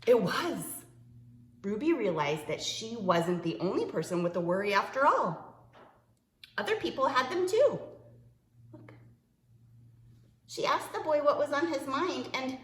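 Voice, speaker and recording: A woman preschool teacher reading a picture book aloud to young children, expressively, with dramatic pauses and stretched-out words. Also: sits high, sing-song, roomy sound.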